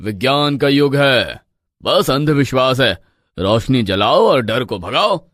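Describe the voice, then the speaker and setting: A man's voice: medium pitch, very animated, slow, fairly bright tone, no room sound, studio quality. An actor playing an arrogant, wealthy middle-aged village man in a storybook tale, with an emphatic, dismissive manner.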